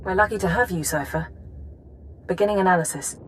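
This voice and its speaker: A woman playing a brisk, composed intelligence analyst in a video game, speaking precisely and formally. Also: on the low side, a little hiss or hum, close to an everyday voice, poor audio. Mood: composed, serious.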